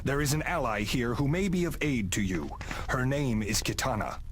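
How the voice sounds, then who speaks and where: An actor voicing a wise, stern male god who mentors warriors in a video game, speaking in grave, measured commands. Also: medium pitch, expressive, natural voice. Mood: solemn. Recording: game audio with loud music and noise.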